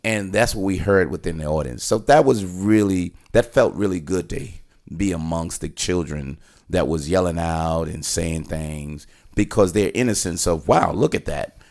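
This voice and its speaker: A man's voice: deep voice, normal speed, a little mellow. A middle-aged man co-hosting a casual film review, talking in a rambling, opinionated way. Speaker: podcast host giving a film review. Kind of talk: casual conversational movie review.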